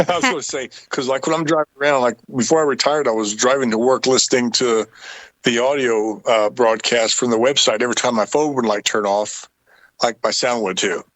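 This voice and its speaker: A man's voice: mid-range voice, a little fast, a bit bright, no room sound, poor audio. A middle-aged or older man co-hosting a podcast in casual conversation. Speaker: podcast co-host. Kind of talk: casual chat.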